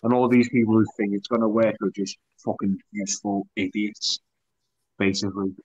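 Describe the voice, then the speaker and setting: A man on a panel call making forceful, declarative points. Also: on the low side, fairly even intonation, normal speed, slightly rough. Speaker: panel guest. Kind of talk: casual political chat. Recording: dry lo-fi call audio.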